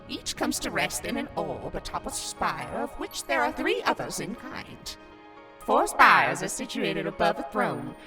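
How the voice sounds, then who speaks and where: A woman voicing a playful, doting grandmotherly ghost guide in a theatrical, storybook manner for a cartoon fandub. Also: mid-range voice. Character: playful grandmotherly ghost sprite in clown garb, mysterious guide. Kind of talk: fandub character acting.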